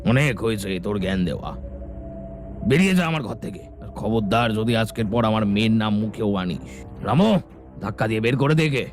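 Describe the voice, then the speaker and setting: Man playing a low-voiced, scornful village patriarch. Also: lively intonation, normal speed, soft background music. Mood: angry, contemptuous. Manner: mocking, scolding, commanding. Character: harsh, scornful rich village father guarding his daughter.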